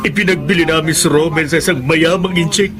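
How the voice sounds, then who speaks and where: An actor with a Filipino accent playing a guilty, solemn elder brother in a radio drama, speaking in a measured, confessional way. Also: male voice, on the high side, fairly even intonation, music in the background, lightly acted. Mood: grave, guilty. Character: guilty, grave elder brother explaining himself.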